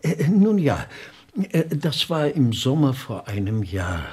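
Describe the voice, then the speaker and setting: Husky, halting old village man. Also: medium pitch, sing-song, a little mellow, heavily acted. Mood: halting recollection. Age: older. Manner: halting, stammering, repeats himself. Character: doddering, eager old village handyman testifying as witness. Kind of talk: old German radio crime drama acting.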